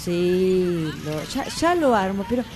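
A woman's voice: fairly low pitch, sing-song, slow pace, music in the background. A woman with an Argentine accent playing a forgetful, chatty character in an amateur radio drama. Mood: relaxed, distracted. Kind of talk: amateur radio drama acting, casual chat.

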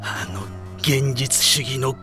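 An actor playing a proud, cynical enemy general in a Japanese radio drama, theatrical, mocking and haughty. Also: male voice, medium pitch, takes it slowly, over music, natural voice. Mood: weary, mocking.

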